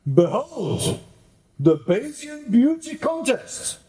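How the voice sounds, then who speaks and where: Villainous marquis narrator for radio drama, male actor: grandiose, mock-Shakespearean, swooping delivery, measured pace. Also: extremely high pitch, big pitch swings, dark tone, soft background music, exaggerated voice. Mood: gloating, grand. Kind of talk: radio play acting.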